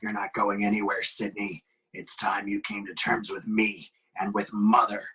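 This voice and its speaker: A man voicing a bitter, vengeful male killer, brooding and deliberate, in an amateur horror script reading. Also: fairly low pitch, flat delivery, pushed and bright, husky, exaggerated voice, low-quality recording. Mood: bitter menace.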